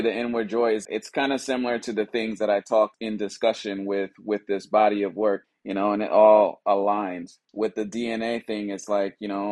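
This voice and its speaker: A man with a fairly low voice speaks as an artist presenting his own work. He comes from Liberia and was raised in the United States. His delivery is unscripted and reflective, with emphatic phrases and conversational asides. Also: darkish tone. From Liberia, West Africa, raised in the United States. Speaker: contemporary mixed-media artist. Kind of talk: explaining own artwork, unscripted artist talk.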